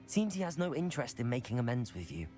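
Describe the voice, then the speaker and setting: A young man voices a student adventurer for a fantasy game. He is helpful and inquisitive, with a medium pitch that moves in a lively way. Soft music runs behind the polite, composed delivery. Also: fast, lightly acted. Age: young adult. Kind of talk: video game acting.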